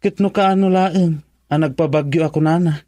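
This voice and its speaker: A man's voice: fairly high pitch, steady tone, moderate pace, mellow, no room sound, lightly acted. A man acting in an Ilocano radio drama as a frank friend, speaking in an emphatic, questioning way. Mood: frank discussion.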